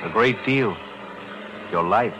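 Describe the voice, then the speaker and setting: An actor playing a quiet, menacing accomplice in a 1940s radio drama, cold and ominous. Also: male voice, on the low side, slow, highs cut off. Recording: hissy vintage radio recording.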